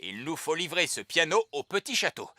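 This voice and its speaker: Wildly animated elf dad. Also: male voice, fairly high pitch, fairly quick, heavy background noise. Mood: businesslike. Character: brisk, punctual elf father, easily flustered. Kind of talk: French-dubbed children's cartoon acting.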